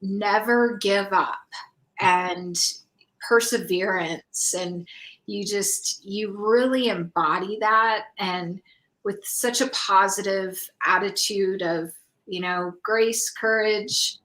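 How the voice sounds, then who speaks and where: A woman hosts a casual online interview. Her voice is notably bright and forward. She speaks slowly, pausing often between short phrases as she introduces her guest. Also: medium pitch, fairly even intonation, rough recording. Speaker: online summit host.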